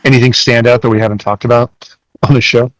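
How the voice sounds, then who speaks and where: A man's voice: medium pitch, fairly even intonation, fast talker, no echo, rough recording. A man co-hosting a podcast, casually chatting and putting questions to his colleague. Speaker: podcast co-host asking questions. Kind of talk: casual conversational interviewing.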